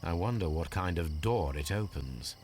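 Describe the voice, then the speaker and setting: An actor playing a shrewd, composed Victorian gentleman detective with an English accent, formal and measured, in a video game drama. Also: low voice, lively intonation, background noise. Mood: pensive, observing.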